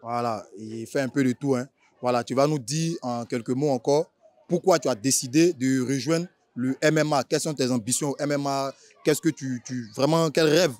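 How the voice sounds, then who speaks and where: A male interviewer with an Ivorian accent talks in a mid-pitched voice, recorded dry and close. He is chatty and informal, peppering questions with slang and filler tags as he rewords them. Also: moderate pace, darkish tone, no echo.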